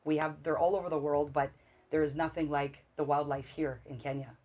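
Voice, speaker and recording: A woman's voice: sits low, steady tone, brisk. A woman in her forties from Canada, a guest telling stories eagerly in a casual interview. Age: 40s. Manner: warm, enthusiastic storytelling with many fillers.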